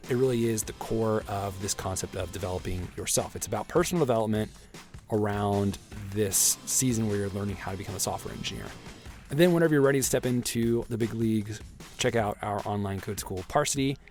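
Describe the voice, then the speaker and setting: A hoarse man hosting a solo podcast, talking in an earnest, motivational way and often adding "right?" to his points. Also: fairly low pitch, a little fast, a little mellow, soft background music. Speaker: podcast host.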